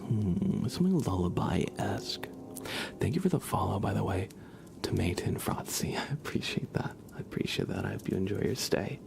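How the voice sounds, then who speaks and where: A man hosting a relaxed livestream in a soft, hushed, easygoing voice. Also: on the low side, normal speed, vocal fry, noisy background. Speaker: livestream host and ASMR streamer. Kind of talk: soft casual livestream chat between songs.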